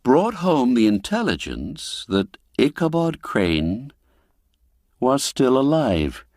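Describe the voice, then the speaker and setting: A man reading a classic tale aloud in a measured, expressive storytelling manner. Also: mid-range voice, no room sound, clean studio sound. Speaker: narrator. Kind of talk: scripted storybook narration.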